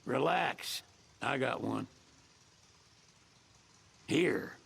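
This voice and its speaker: An older man acting a weathered, folksy old mechanic with a gravelly Southern American drawl in a video game cutscene. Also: medium pitch, lively intonation, extremely slow, a little hiss or hum, full character voice. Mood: easygoing. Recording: loud music behind.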